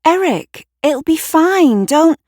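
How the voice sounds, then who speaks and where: Woman acting a bossy, caring neighbour woman, raised pitch. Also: sing-song, darkish tone, no echo, clean studio sound, exaggerated voice. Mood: worried, soothing. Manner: brisk, insistent, reassuring. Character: Shirley, bossy, caring, nosy female neighbour.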